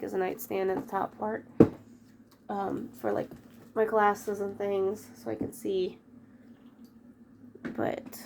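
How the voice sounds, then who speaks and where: A woman's voice: medium pitch, vocal fry, background noise. A woman with a head cold chatting casually while she tidies up her bedroom, rambling and breaking off mid-thought. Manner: rambling, chatty, self-interrupting. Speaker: vlogger. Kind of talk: casual chat while tidying. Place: bedroom at home.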